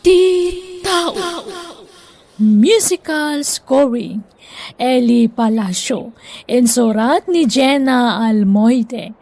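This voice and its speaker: Woman narrator, high voice, Ilocano accent, scripted radio drama narration. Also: gravelly, darkish tone.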